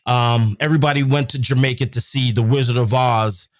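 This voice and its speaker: A young man from England chatting casually as a podcast host, telling stories at an unhurried pace. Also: medium pitch, steady tone, dry recording, studio quality. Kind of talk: casual chat.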